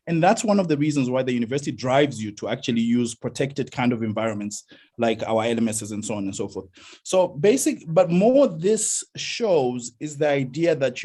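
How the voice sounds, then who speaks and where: A South African man answers questions in an academic video call. His voice is slightly husky, and he explains hesitantly, often repeating words as he works out his point. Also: on the high side, a little mellow.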